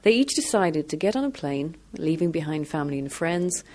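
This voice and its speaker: A woman narrating a radio documentary in a measured, lightly amused storytelling manner. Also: low voice, very animated, darkish tone. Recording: music bed under voice.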